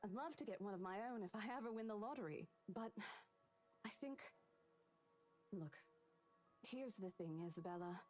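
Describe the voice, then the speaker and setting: A woman's voice: medium pitch, animated, normal speed, pauses often, darkish tone, natural voice. A woman playing a caring but insistent female real estate colleague in a visual novel game, concerned and coaxing. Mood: earnest concern. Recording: music behind the voice.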